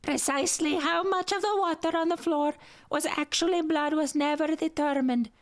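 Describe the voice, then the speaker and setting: A man voicing a tiny, giggly cartoon lemur with a squeaky voice, reading a horror story slowly and deliberately. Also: steady tone. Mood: gleefully creepy. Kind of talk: creepy story narration in cartoon voice.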